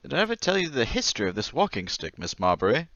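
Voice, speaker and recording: An actor playing a timid, eccentric war-veteran lodger in an old-time radio drama, hesitant and stammering with nervous manners. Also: male voice, mid-range voice, huge pitch swings, fast talker, a bit bright, no room sound, muffled recording. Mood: odd, excitable.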